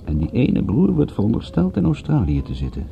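A man's voice: medium pitch, huge pitch swings, fairly quick, vocal fry, faint music behind, exaggerated voice. An actor playing a wry, observant male private detective who narrates and converses in a dry, sardonic radio-drama style. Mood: wry, decisive. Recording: dull, muffled old radio-play recording.

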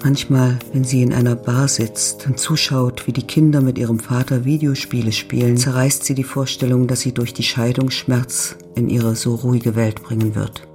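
A man reading a scripted literary narration for an audio drama in a measured, descriptive way. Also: mid-range voice, level tone, a little mellow. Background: music.

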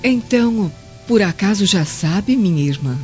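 A woman's voice: mid-range voice, animated, measured pace, darkish tone, lightly acted. An insinuating Roman noblewoman played by an actress probes. The radio drama audio is dull, with music underneath.

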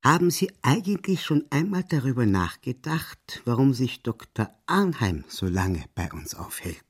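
An aloof, formal official, a diplomat who probes and gives little away, in a literary radio play. The male voice is rough-edged and mid-pitched, with lively rises and falls. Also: unhurried. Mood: probing. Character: pedantic senior Austrian diplomat, guarded, aloof husband. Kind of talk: German literary radio drama.

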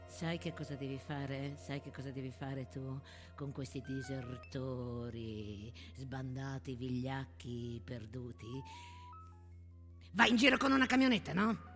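Menacing, barking army commander. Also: female voice, rumbling low, unhurried, big-room sound. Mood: cold menace. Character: cold, furious military commander ordering deserters shot. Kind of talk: theatrical monologue set to music. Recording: stage monologue with music behind.

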